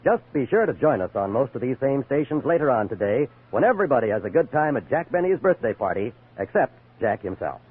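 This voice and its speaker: A man's voice: medium pitch, very animated, brisk, hardly any pauses, breathy edge. An American man announcing programs in a scripted 1950s network radio style. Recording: muffled 1950s radio broadcast recording.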